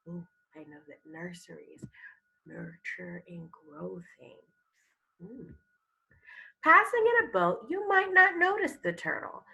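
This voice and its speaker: Creaky-voiced woman teaching young children. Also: mid-range voice, sharp tone, low-quality recording. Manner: instructional, addresses children with prompting questions. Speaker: elementary school teacher. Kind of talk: read-aloud lesson with vocabulary teaching and think-aloud commentary.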